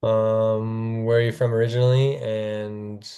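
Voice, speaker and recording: Man, moderator, mellow, smooth, casual, slightly low voice, video call. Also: unhurried. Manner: casual, relaxed, hands turns to others. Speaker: event moderator.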